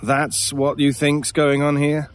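A slow, brooding British drifter. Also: male voice, fairly high pitch, flat delivery. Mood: grave, brooding. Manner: brooding, wry, portentous storytelling with dramatic pauses. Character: world-weary, haunted British drifter, a mysterious male stranger. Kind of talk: audio horror drama acting.

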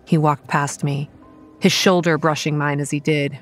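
A woman playing a pragmatic young campground manager, narrating a horror audio drama in a measured, matter-of-fact and wry way. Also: deep voice, flat delivery, soft background music, natural voice. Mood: tense narration. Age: young adult. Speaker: narrator and lead character. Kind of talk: first-person scripted horror narration and dialogue.

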